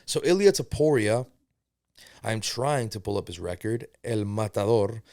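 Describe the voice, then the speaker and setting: A man's voice: fairly low pitch, lively intonation, a little slow, warm tone, no echo, studio quality. A young man who was born in Spain and raised in America, hosting a podcast in a casual, rambling and profane way. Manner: rambling, profane, admits to segues. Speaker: podcast host. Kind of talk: casual solo podcast commentary.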